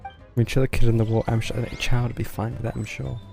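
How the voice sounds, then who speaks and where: A man's voice: mid-range voice, level tone, fast talker, gravelly, warm tone. A man giving casual, wry live commentary as he streams a game, with game music behind him.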